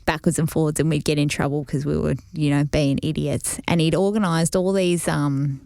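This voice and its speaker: A woman's voice: low-pitched, steady tone, normal speed, vocal fry, darkish tone, no room sound, studio quality. A woman co-hosting a podcast, telling a rambling family story in casual chat. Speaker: podcast co-host.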